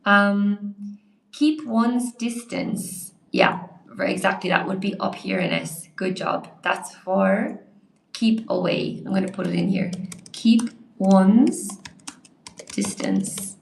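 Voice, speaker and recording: A young woman teaching a live online English lesson, a little hoarse, praising viewers by name as she explains. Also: medium pitch, expressive, takes it slowly, echoey room, a little hiss or hum, rough recording. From Ireland.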